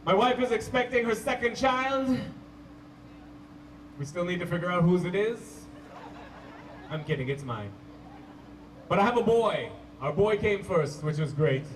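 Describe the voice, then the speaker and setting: A man with a Canadian accent performing stand-up comedy to a live audience, telling stories playfully with drawn-out emphasis and pauses. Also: high-pitched, expressive, roomy sound. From Toronto, Canada. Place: live comedy show at a park venue. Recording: distant mic, hissy live-show camcorder sound.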